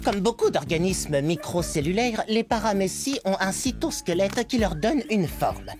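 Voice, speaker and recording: A woman voicing a brainy, anxious preteen boy amoeba in a cartoon, explaining things pedantically and excitably. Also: medium pitch, moderate pace, natural voice. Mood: pedantic. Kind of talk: animated cartoon dubbing. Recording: loud music underneath. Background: loud music.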